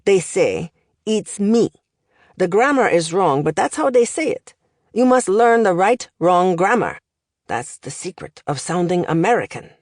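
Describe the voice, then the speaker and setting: A woman's voice: on the low side, big pitch swings, measured pace, darkish tone, no echo, studio quality. A woman voicing an older male professor, opinionated and instructive, in an audiobook. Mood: instructive, coaxing. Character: older male Romanian language professor, opinionated, smoking, encouraging.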